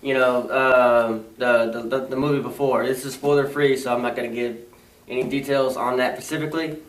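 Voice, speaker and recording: Halting young man in an echoey room. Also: mid-range voice, fairly even intonation. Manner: halting, many fillers and restarts. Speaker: movie review co-host. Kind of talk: casual movie review chat. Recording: echoey room, mic far off.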